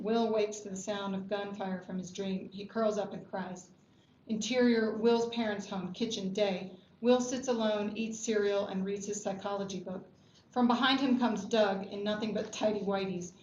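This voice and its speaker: A woman's voice: medium pitch, moderate pace, darkish tone. Woman narrating a script, echoey room. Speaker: table read narrator. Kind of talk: scripted reading of stage directions. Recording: dull, echoey room with background noise.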